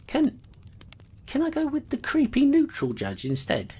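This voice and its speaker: A woman's voice: high-pitched, wildly swooping pitch, measured pace, mellow, heavily acted. A woman playing a battered male constable bent on vengeance, flustered and in pain, in an audio drama. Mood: uneasy. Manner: flustered, blurting. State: wounded, in pain.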